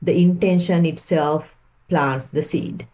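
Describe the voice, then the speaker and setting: A woman leading a guided meditation, speaking in a gentle, calming way with unhurried phrasing. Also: sits low.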